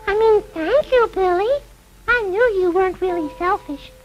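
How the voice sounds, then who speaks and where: Man acting little girl puppet, very high pitch, earnest, pleading, childlike, old children's film. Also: moderate pace, muffled recording. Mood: kindly. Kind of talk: scripted children's educational puppet show. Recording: old 1960s film soundtrack, music behind.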